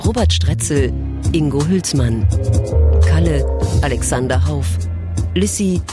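A man's voice: a little high, animated, measured pace, over loud music. A man reading scripted radio drama credits as an announcer.

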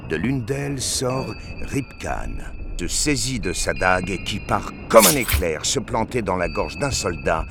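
A man narrates a sci-fi action drama in a low-pitched voice. He reads scripted prose with dramatic delivery, and music plays clearly underneath. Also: big pitch swings, unhurried.

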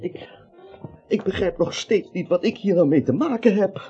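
A nervous, reluctant little fellow in a radio drama, querulous and easily flustered. His highish voice swoops up and down as he protests. The old recording is dull and muffled. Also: animated, moderate pace, mellow. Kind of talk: Dutch radio play acting.

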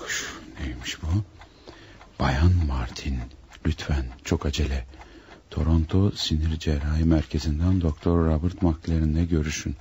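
Very deep-voiced panicked killer. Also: male voice, fairly even intonation, unhurried, warm tone. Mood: agitated, brooding. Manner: talks to himself, dramatic. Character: panicked scheming male killer hiding beside his victim. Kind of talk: radio drama acting, muttered monologue. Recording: noisy old radio drama recording.

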